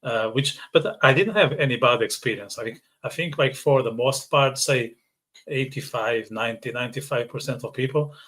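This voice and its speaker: A man's voice: medium pitch, normal speed, a bit bright. Portuguese-accented man hosting a call. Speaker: podcast host. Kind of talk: casual video-call interview. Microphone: video call.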